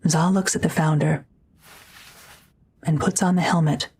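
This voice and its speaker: Low-pitched woman narrating an audio drama. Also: steady tone, unhurried, darkish tone, slight background noise.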